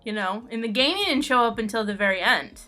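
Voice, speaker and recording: A woman's voice: fairly high pitch, fast talker, sharp tone, faint music behind. A woman answering fan questions about her own comic in a casual, jokey and informal way. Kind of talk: casual playful chat.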